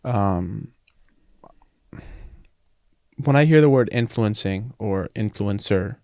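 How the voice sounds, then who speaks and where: A man's voice: lowish voice, a little slow, a little mellow, no echo, studio quality. A man hosting a podcast interview, talking in a thoughtful, slightly hesitant way. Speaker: podcast host. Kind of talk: interview conversation.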